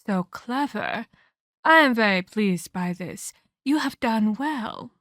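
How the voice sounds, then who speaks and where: Woman doing an evil old vampire lady for asmr roleplay, highish voice, super slow, bossy and menacing, whispery bits. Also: expressive, a bit bright, no echo, studio quality, heavily acted. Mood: pleased, condescending. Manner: menacing, condescending, deliberate theatrical delivery with whispered emphasis. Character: cruel, domineering ancient female vampire scolding her captive pupil. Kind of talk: dramatic ASMR roleplay acting.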